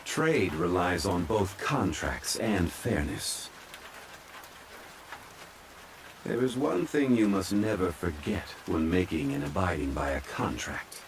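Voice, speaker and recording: A man playing a calm, ancient, scholarly immortal in human form, speaking formally and with measured courtesy in a soft ASMR roleplay. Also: low-pitched, slight background noise, poor audio. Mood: measured, courteous. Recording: steady rain sound laid behind voice. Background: rain falling.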